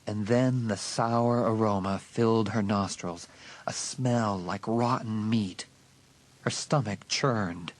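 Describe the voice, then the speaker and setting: A man's voice: on the low side, fairly even intonation. A man narrating a horror audiobook in a dramatic, suspenseful scripted style. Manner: suspenseful, deliberate emphasis.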